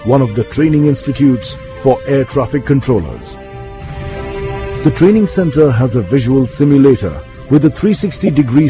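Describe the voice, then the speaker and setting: A man delivering scripted documentary narration, as a television narrator. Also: medium pitch. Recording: loud music bed under the voice.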